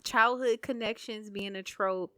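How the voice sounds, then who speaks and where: A woman's voice: medium pitch, fairly even intonation, unhurried, sharp tone, no room sound. A woman guest on a podcast, chatting casually and listing examples with plenty of filler words. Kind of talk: casual chat.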